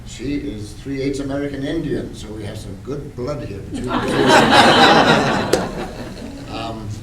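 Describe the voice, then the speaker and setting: A man's voice: medium pitch, sing-song, slow. An older man from Wales speaking up from the audience, chatty and joking, with asides that poke fun at himself. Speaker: audience member. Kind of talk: spontaneous remarks from the audience. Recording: roomy hall, far mic, background hum.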